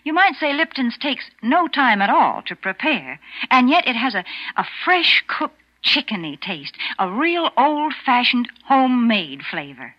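A woman's voice: a little high, very animated, unhurried. A woman reading a cheerful scripted radio commercial in 1940s style. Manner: cheerful sales patter. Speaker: commercial announcer. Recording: muffled 1940s radio broadcast.

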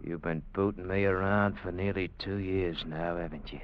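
An actor playing a downtrodden, resentful little underling in a 1940s radio drama. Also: male voice, low-pitched, fairly even intonation, moderate pace, lightly acted. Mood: quietly menacing. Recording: muffled, hissy 1940s radio recording.

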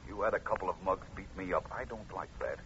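A man acting a cool, determined detective in an old radio drama, with measured, menacing, dryly confident delivery. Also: sits low, fairly bright tone. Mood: controlled anger. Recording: muffled, noisy old radio recording.

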